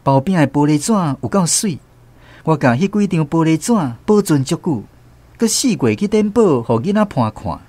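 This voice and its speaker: A man's voice: fairly high pitch, expressive, normal speed, warm tone, dry recording. A man playing a storyteller who recalls his naive country boyhood, narrating a radio drama with a Taiwanese accent. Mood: fond, descriptive.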